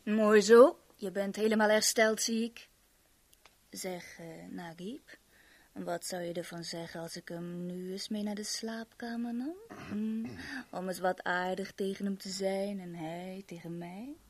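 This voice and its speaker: A woman's voice: medium pitch, fairly even intonation, unhurried, close to an everyday voice. An actress playing a brazen, flirtatious, motherly crook in a 1970s radio drama, cooing and coaxing suggestively. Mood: seductive, coaxing. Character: brazen, flirtatious motherly female crook calling herself mama.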